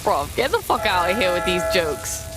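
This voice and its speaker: A woman's voice: fairly low pitch, big pitch swings, normal speed, loud music behind the voice, poor audio. An actress playing a brash, foul-mouthed female hacktivist in a video game, blunt and streetwise. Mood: blunt, irritated.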